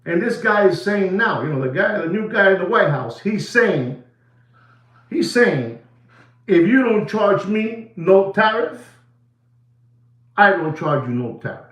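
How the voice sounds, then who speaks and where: A man's voice: sits high, lively intonation, measured pace, pauses often, fairly bright tone, reverberant. New york puerto rican guy rambling on a livestream, voice jumps all over, lots of "you know". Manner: rambling, many fillers, slips into Spanish. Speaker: livestream host. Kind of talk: casual livestream chat.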